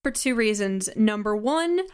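A woman's voice: mid-range voice, expressive, unhurried, smooth. A young woman speaking conversationally in an interview as a writer and creator. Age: young adult. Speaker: audio drama writer and creator.

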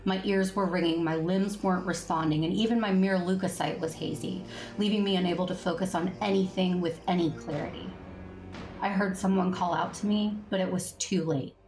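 An earnest Jedi Master played by a woman tells her own story in a space-fantasy audio drama. Her voice sits on the low side, devoted and sincere, with faint music behind it. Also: steady tone, fairly quick, a little mellow, natural voice. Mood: tense, pained. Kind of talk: first-person audio drama narration and dialogue.

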